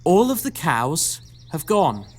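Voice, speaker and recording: A flustered pantomime farm mother played by a woman talks in wordy, theatrical sentences. Her pitch swings widely, full of sarcasm and exasperation. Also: big pitch swings, slow pace, full character voice. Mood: worried. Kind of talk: audio pantomime acting.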